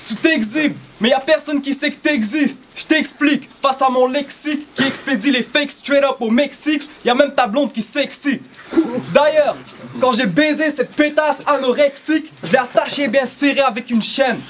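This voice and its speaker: Un jeune homme à l'accent français rappe des rimes serrées, agressives et vulgaires. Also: male voice, extremely high pitch, a bit bright. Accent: French. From Algeria. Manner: rapid, dense rhymes, aggressive and vulgar. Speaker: battle rapper. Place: small indoor shop venue.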